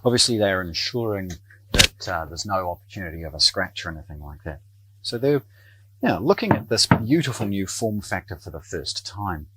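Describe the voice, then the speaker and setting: A middle-aged man presenting an unscripted product unboxing in a measured, explanatory way. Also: low voice, animated, bright, forceful tone, a little hiss or hum, poor audio. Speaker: presenter of an audio shop's product videos. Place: small indoor room lined with shelves.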